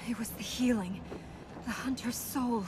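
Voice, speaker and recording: A woman's voice: on the high side, a little slow, background noise, natural voice. A woman playing a solemn, determined young princess in a video game, speaking earnestly and with resolve. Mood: weary, quiet. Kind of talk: video game cutscene acting.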